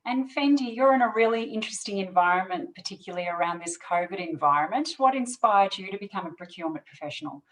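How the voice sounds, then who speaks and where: Host: woman, mid-pitched, a bit distant from the mic, short thanks and questions to guests. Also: expressive, fast, few pauses. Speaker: webinar host and interviewer. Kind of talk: interview moderating.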